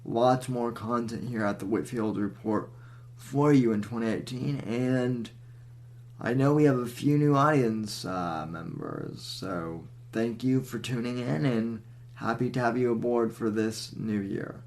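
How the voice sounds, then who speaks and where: A male podcast host who speaks slowly and deliberately. His voice carries a gravelly, creaky edge and is set at an ordinary middle pitch. He pads his sentences with frequent ums and uhs. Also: a little mellow. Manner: halting, with many fillers. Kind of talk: podcast interview hosting.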